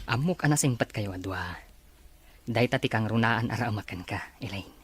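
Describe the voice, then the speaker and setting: A man acting a husky, flirtatious big-brother type. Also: on the low side, expressive, moderate pace. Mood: friendly. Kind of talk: Ilocano radio drama acting.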